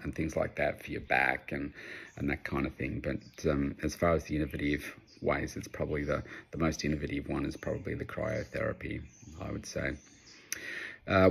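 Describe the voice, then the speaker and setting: A man's voice: a bass voice, vocal fry, slightly rough. A man in his fifties with an Australian accent, giving casual, unscripted advice as a personal trainer in a rambling, conversational way. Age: 50s. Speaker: personal trainer answering audience questions.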